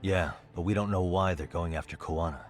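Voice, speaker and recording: A calm, determined detective voiced by a man questions a prisoner with steady, pressing insistence. His voice sits deep and low, and a faint music bed runs under the game scene. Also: brisk, close to an everyday voice. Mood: stern. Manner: pressing, steady interrogating delivery. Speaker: detective in cutscene. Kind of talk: game voice acting, dub.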